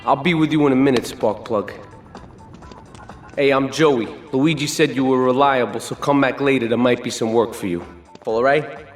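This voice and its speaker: An actor playing a cocky young mobster and mechanic, smug and casual. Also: male voice, mid-range voice, moderate pace, reverberant, in a large hall, soft background music, natural voice.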